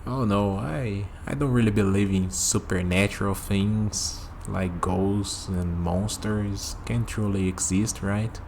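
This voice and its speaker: A man's voice: fairly low pitch, steady tone, slow pace, few pauses, darkish tone, slight background noise. A man acting a friendly, easygoing human on a night walk in an ASMR roleplay, musing conversationally to the listener. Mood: skeptical, thoughtful.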